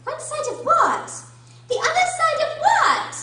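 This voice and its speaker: A woman's voice: very high voice, huge pitch swings, measured pace, pushed and bright, exaggerated voice. A woman playing a polite, bewildered little girl in a radio drama, earnest, hesitant and easily flustered. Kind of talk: amateur radio drama acting. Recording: very echoey room, distant mic, faint noise.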